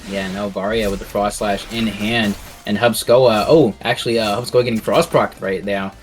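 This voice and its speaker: A man's voice: on the low side, fairly even intonation, normal speed, soft background music. A man giving live, casual esports commentary in a loose, conversational way, often repeating his phrases.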